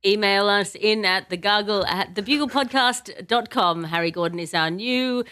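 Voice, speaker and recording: A woman's voice: mid-range voice, normal speed, pushed and bright, no room sound. A woman hosting a comedy podcast, wry and articulate, mixing banter with scripted bits. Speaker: podcast host.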